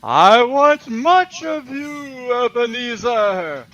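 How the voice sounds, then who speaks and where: A man voicing a spooky, teasing male ghost in a radio-play reading, intoning in a drawn-out theatrical way. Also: extremely high pitch, sing-song, pushed and bright, lo-fi sound, heavily acted. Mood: eerie, booming. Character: wailing, teasing male ghost of a dead business partner.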